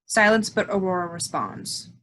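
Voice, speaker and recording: A woman's voice: lowish voice, expressive, normal speed, a bit bright. A woman reading the scripted stage directions of a play, with some background noise.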